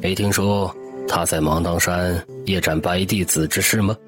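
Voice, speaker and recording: A man's voice: low voice, little pitch movement, over music. A man playing a knowing, enigmatic senior advisor of ancient China in an audio drama. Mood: amused, knowing. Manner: unhurried, knowing.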